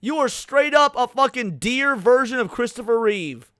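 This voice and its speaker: Guy streamer reacting, high clear voice, slangy and sweary, close dry sound. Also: animated, moderate pace, fairly bright tone, no room sound. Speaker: livestreamer reacting to a horror video. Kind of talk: casual reaction commentary.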